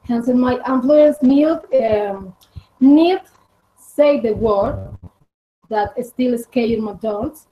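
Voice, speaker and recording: A Colombian woman in her twenties with a Spanish accent, answering interview questions in halting English with many fillers. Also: a little high. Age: 20s. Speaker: podcast guest. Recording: dull lo-fi audio.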